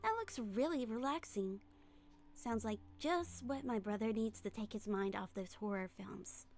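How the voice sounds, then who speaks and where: A woman voicing a composed, caring young female magician's assistant in a fan-dubbed game, measured and understated. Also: on the high side. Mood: calm interest.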